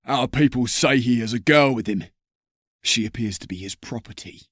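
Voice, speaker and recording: A man playing a commanding, threatening nobleman in an audiobook drama, intimidating and deliberate, with a husky voice. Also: medium pitch, a bit bright, no room sound, studio quality, natural voice. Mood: demanding, suspicious. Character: menacing nobleman, commanding, threatening, quick to frustration.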